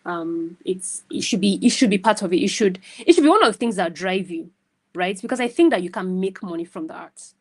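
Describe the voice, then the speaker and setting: A woman's voice: medium pitch, lively intonation, fairly quick. Woman, mid-range voice, guest mentor, casual advice, emphatic, video call. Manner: emphatic, often tags phrases with "right?".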